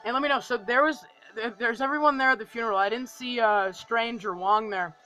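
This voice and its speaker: Young male reviewer, notably high and bright in tone, gushing, informal, repeats himself for emphasis; music underneath. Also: a little fast, clean tone, poor audio. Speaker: film review vlogger. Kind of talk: casual, enthusiastic movie review chat.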